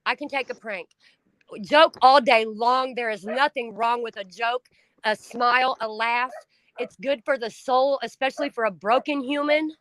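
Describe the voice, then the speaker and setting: Loud, blunt, high-voiced woman. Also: moderate pace, no echo, low-quality recording. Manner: loud, blunt and profane, voice rising when upset. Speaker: stream co-host. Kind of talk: emotional casual chat.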